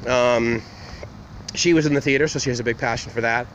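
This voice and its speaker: A man's voice: mid-range voice, fairly even intonation, brisk, a bit bright. A man talking casually to camera while walking outdoors, in a rambling, digressive way, often correcting himself. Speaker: vlogger. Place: outdoors, walking through a university campus. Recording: noisy outdoor recording with wind.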